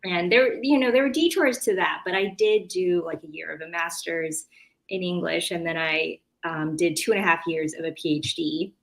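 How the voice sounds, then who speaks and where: American female author as interview guest, lowish pitch, casual, hesitant, self-interrupting delivery. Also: normal speed, poor audio. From California, United States.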